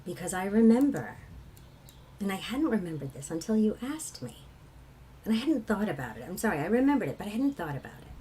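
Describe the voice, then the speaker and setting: A woman from England with a low, husky voice, a singer telling personal stories in a reflective conversation. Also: mellow, slight background noise. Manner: reflective, laughs often while telling stories. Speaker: kirtan singer.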